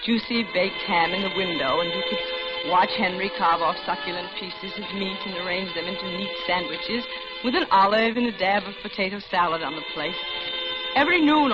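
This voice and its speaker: An American actress playing a lonely middle-aged widow, narrating confidingly and genteelly in an old-time radio suspense drama. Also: female voice, mid-range voice, lightly acted. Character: lonely older widowed office worker recounting her doom. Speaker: narrator and lead character. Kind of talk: 1940s-50s American radio suspense acting. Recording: dull old radio recording, loud music behind.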